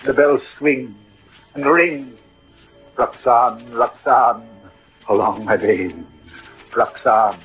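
A man's voice: medium pitch, takes it slowly, pauses often, faint music behind, close to an everyday voice. Man playing Cyrano, an ardent, lyrical poet-soldier. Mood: rapturous, tender. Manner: ardent, lyrical, theatrical delivery. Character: Cyrano, eloquent lovelorn poet-soldier, romantic and noble. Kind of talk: 1940s-50s radio drama acting. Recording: dull old radio recording.

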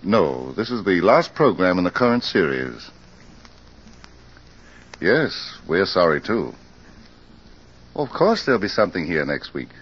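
An actor playing a courteous club librarian who serves as announcer of a 1940s radio mystery. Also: male voice, deep voice, sing-song, unhurried, long pauses, breathy edge, full character voice. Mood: courteous, apologetic. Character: courteous male club librarian, announcer taking phone calls. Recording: muffled old radio recording, faint noise.

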